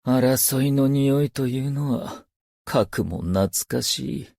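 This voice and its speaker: A man's voice: medium pitch, expressive, vocal fry, darkish tone, dry recording. Haunted theater actor assassin from a game, brooding n solemn, takes his time, super dry close sound. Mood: solemn, menacing. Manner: solemn, measured, theatrical, poetic phrasing. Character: brooding, haunted male stage actor turned loyal assassin. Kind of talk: scripted game character voice lines, theatrical monologue.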